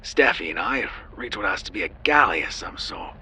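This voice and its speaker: Guy doing a raspy dive team leader, low and bright-edged, steady on comms. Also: brisk, slight background noise.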